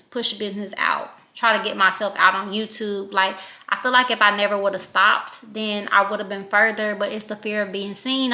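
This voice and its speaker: A woman in her thirties talks to her viewers in a relaxed, musing way, her country Louisiana accent plain in every word. Her voice is bright and forward, and she pauses often with a soft um while she works out her thoughts. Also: medium pitch. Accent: Louisiana Southern. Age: 30s. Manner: chatty and reflective, many ums. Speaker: vlogger. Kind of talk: casual vlog chat.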